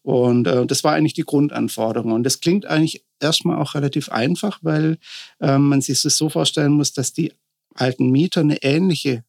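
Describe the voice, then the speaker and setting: Gravelly-voiced man explaining his work. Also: on the high side, moderate pace, a little mellow. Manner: thoughtful, explaining with many fillers. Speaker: architect. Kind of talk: podcast interview.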